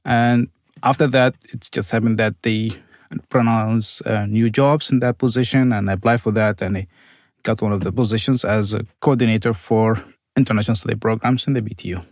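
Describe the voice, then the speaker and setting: Gravelly-voiced man, Arabic native speaker. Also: on the low side, steady tone, fairly quick, no echo, studio quality. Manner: careful, searching for words, slightly non-native phrasing. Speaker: university program coordinator. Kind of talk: conversational interview answers.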